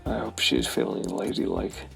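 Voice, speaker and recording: A man's voice: rumbling low, wildly swooping pitch, normal speed, creaky, faint music behind, full character voice. A man voicing a sardonic, hard-boiled private eye in a noir role-playing game, with a wry delivery.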